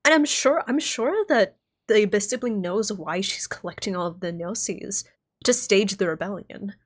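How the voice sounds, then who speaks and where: A young woman streamer reacting live to a game, thinking aloud, excitable, swearing and laughing. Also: mid-range voice, normal speed.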